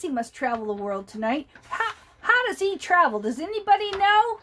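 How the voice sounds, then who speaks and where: Older woman as a children's storyteller: playful, chatty, high voice, slow and unhurried delivery. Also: fairly bright tone. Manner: asks the young listeners questions. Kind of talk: reading a picture book aloud to children.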